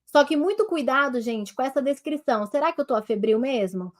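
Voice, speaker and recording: A woman doctor with a Brazilian Portuguese accent teaching telemedicine over a webcam, nasal and congested from a cold, talking to her viewers in a lively, direct way. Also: high voice, fairly quick. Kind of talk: online medical lesson, demonstrating on herself.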